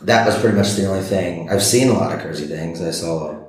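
Man, very deep voice, easygoing storyteller, echoey room. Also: a little fast. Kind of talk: casual chat.

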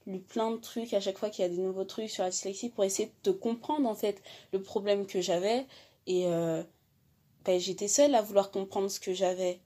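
A teenage girl, a high school student, telling her own story haltingly with many fillers and restarts. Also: dark tone. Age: teens. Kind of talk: personal testimony.

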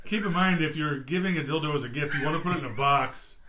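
A man's voice: a little high, quick pace, background noise. A man chatting casually and playfully, often breaking into laughter. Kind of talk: casual chat.

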